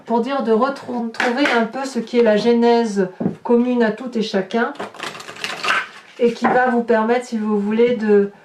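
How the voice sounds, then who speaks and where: A woman's voice: on the high side, steady tone, unhurried. A woman giving an improvised tarot reading to viewers, conversational, commenting on cards as she turns them and tagging her phrases with little interjections. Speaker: tarot reader and horoscope host. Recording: echoey room, distant mic, faint noise.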